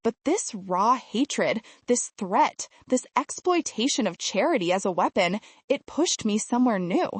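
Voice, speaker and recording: A woman's voice: a little high, big pitch swings, unhurried, hardly any pauses, husky, no room sound. A woman storyteller reading a scripted, dramatic first-person narration.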